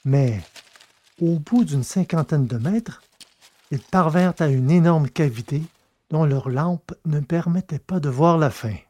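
A man reading a science fiction story aloud in a steady, measured narration. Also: on the high side. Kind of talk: scripted audiobook narration.

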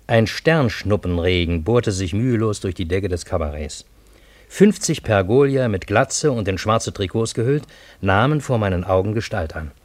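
An actor as a wry first-person storyteller, narrating a radio drama in a measured, matter-of-fact way. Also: male voice, fairly low pitch, no room sound, close to an everyday voice. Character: wry male first-person storyteller recounting strange events.

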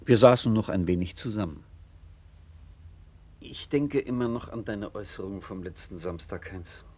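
An actor playing a concerned, thoughtful middle-aged father in a measured, deliberate radio-play style, with a husky voice. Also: fairly low pitch, warm tone. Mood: reflective narration. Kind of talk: 1960s German radio play acting.

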